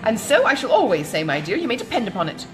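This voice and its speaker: A woman voicing a kindly, gossipy, indignant older gentlewoman in an audiobook of a classic novel, chattering with dramatic exclamations. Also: on the high side, sing-song, quick pace, over music, low-quality recording. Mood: indignant, consoling.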